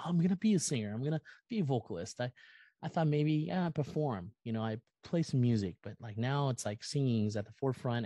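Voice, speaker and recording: Mellow, low-voiced American man. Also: dry recording. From California, United States. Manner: effusive, earnest, rambling. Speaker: podcast guest, musician and content creator. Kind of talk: casual, personal interview talk.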